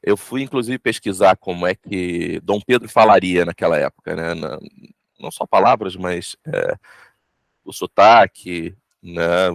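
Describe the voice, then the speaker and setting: A man with a Brazilian accent and a lowish, creaky voice. He talks in a casual, conversational way, with a dry sound free of room echo. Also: unhurried. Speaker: audio drama team member in a discussion. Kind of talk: casual discussion.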